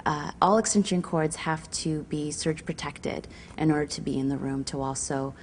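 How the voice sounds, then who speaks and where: A woman's voice: low voice, fairly even intonation, normal speed, a little hiss or hum. A woman giving instructional safety advice in a hesitant, explanatory way. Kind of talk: semi-scripted instructional explanation.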